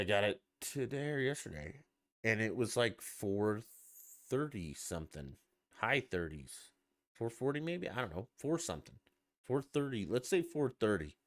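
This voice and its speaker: A man's voice: fairly low pitch, fairly bright tone. A man hosting a podcast on his own, rambling and ranting with plenty of swearing. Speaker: podcast host. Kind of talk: casual solo podcast chat.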